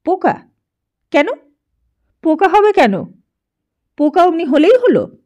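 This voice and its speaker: A woman's voice: extremely high pitch, very animated, slow pace, voice pushed up, exaggerated voice. Woman acting a cantankerous, ranting old miser grandfather. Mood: indignant. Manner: shouting, cursing, ranting. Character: cantankerous miserly old grandfather, half-blind, scolding everyone.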